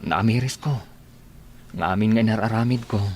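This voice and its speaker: A man in a radio drama playing a remorseful lover, pleading earnestly and haltingly for a second chance. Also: fairly low pitch, darkish tone, slight background noise, close to an everyday voice.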